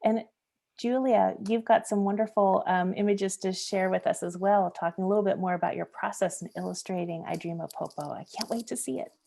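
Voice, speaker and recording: Gravelly mellow woman hosting online. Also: mid-range voice, fairly even intonation, brisk. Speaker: museum program host. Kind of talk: hosting a live online event. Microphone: video call.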